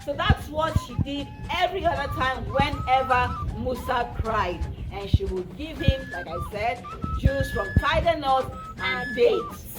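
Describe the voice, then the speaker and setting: A woman with a Nigerian accent telling a folktale to children in an animated, dramatic, interactive way. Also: sits high, a little slow. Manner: animated, dramatic, asks listeners questions. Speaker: children's storyteller and educator. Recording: distant mic, loud background music.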